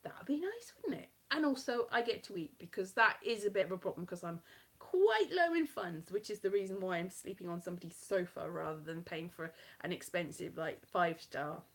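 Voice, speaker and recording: A woman's voice: lowish voice, expressive, a little fast. Woman, from South Wales, light West Country-tinged accent, comedian, rambling self-mocking chat, kitchen live stream. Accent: light West Country-tinged Welsh. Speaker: comedian performing at a festival. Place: home kitchen.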